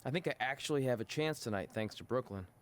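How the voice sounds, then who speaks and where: A man's voice: mid-range voice, expressive, fast talker, close to an everyday voice. A man voicing an anxious, goofy young wrestling champion in a video game cutscene, self-deprecating and earnest. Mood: firm.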